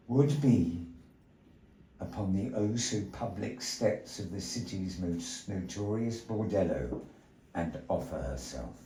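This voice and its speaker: Male storyteller for a puppet show, slow and expressive, picked up from a distance in a reverberant gallery. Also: low-pitched. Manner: slow, theatrical storyteller with lively rises and falls. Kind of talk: storytelling narration to a live audience. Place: gallery space. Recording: echoey gallery room, voice from afar, faint noise.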